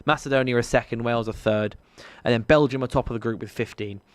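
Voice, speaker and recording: A man's voice: mid-range voice, fairly even intonation, quick pace, dry recording. Chatty man on a live stream. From London. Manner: chatty, rambling with restarts, addresses viewers. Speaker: podcast co-host. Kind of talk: casual sports chat on a live stream.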